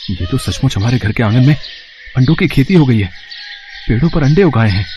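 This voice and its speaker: A man's voice: medium pitch, wildly swooping pitch, brisk, a little mellow, a little hiss or hum. A man voicing a hardworking young egg seller in a storybook tale, dramatic and earnest. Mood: amazed, grateful.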